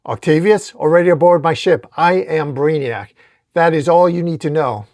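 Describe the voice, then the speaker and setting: A man's voice: on the high side, moderate pace, a little mellow, dry recording, clean studio sound, lightly acted. A man voicing a cold, arrogant alien machine villain with a clinical, imperious manner in a told story.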